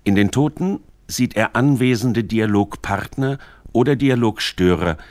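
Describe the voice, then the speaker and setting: Male documentary narrator, lowish pitch, formal and measured, dry booth sound. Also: darkish tone, no echo. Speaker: narrator of a radio literary feature.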